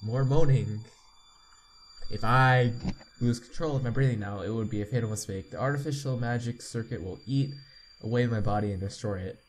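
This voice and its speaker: A man's voice: medium pitch, slight background noise. A man hosting a game playthrough, reading the text aloud with asides and often stumbling and repeating words. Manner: halting reader, repeats misread words. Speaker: playthrough host.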